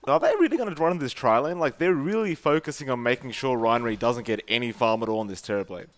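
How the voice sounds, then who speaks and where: A man's voice: medium pitch, animated, quick pace. Australian man casting an esports match. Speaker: esports caster. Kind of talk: play-by-play game commentary.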